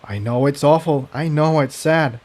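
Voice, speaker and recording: A man's voice: a little high, moderate pace, a little mellow, close to an everyday voice. A man voicing a brave, determined male pony who talks urgently and with authority to protect his friends. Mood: firm consoling.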